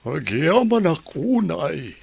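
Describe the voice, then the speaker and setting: A man playing a gentle, grateful parish priest in an Ilocano radio drama. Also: fairly high pitch, sing-song, takes it slowly, gravelly, exaggerated voice. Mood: grateful, solemn.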